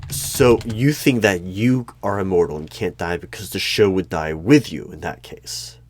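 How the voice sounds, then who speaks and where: A man playing a creepy, skeptical hiring manager in a one-sided job interview roleplay, speaking in a deliberate, probing way. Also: low voice, no echo. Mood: incredulous. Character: creepy, skeptical male hiring manager of a mysterious secret organization. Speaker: roleplay performer.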